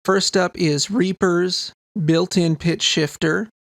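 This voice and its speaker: Higher-voiced man with a creaky edge, casually explaining. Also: steady tone, slow pace, a bit bright, dry recording, studio quality. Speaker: sound designer presenting a tutorial. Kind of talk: casual explanatory tutorial narration.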